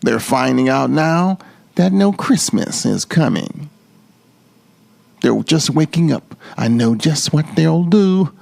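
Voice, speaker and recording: A sly, grumpy storybook monster voiced by a man, gravelly and smug. He draws out his words with mock sweetness and swings his pitch as he schemes. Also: lively intonation, slow, darkish tone, no room sound, heavily acted. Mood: gloating.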